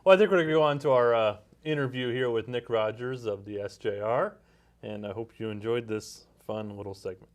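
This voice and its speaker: A man's voice: mid-range voice, brisk. An American man co-hosting a podcast and interviewing in a casual, conversational way.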